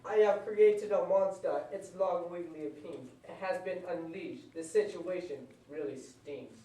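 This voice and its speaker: A man's voice: high voice, a little slow, mellow. A man playing a melodramatic mad scientist who declaims in rhymes for an amateur puppet show. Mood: alarmed, dramatic. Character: melodramatic mad scientist warning of his monster worm. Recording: echoey room, distant mic, faint noise.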